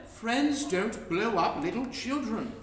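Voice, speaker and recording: A high, lively male actor's voice as a weary, gloomy retired spy in a stage comedy. He sounds earnest yet sardonic and exasperated, recorded live in an echoey room. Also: slow pace, heavily acted. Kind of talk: live staged reading of comic play. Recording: roomy live recording, faint noise.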